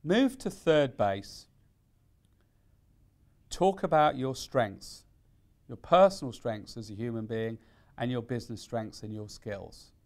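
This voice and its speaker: A man with a medium-pitched voice presents practical advice in an instructional style. He phrases things deliberately and leans on key words for emphasis, as a careers consultant would. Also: lively intonation, darkish tone. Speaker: careers consultant giving tips.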